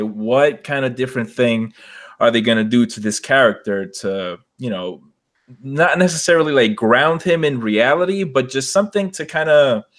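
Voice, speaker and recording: A man hosting a casual podcast discussion, feeling his way through questions and searching for words. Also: medium pitch. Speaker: podcast host steering discussion.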